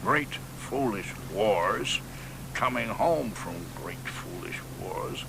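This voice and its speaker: An actor playing an aging, sardonic poet in a radio drama, talking slowly with dry, muttered asides. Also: male voice, on the low side, creaky, full character voice. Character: aging poet Ezra Pound, bitter and sardonic. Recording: radio broadcast with steady background noise.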